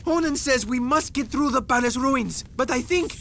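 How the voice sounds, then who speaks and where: An actor voicing a pompous, complaining talking bird who interprets for a seer in a video game. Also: male voice, very high-pitched, moderate pace, creaky, a little hiss or hum, full character voice. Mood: exasperated. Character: squawky, irritable talking bird acting as seer's interpreter. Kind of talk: animated video game cutscene acting. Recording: loud music under the voice.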